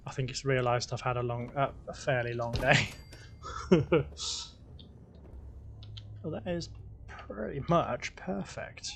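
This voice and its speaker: A man's voice: mid-range voice, takes it slowly, gravelly, pauses often, a little hiss or hum. Casual man narrating his gameplay. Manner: relaxed, talks to himself while building. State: slightly croaky voice. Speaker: gaming video host.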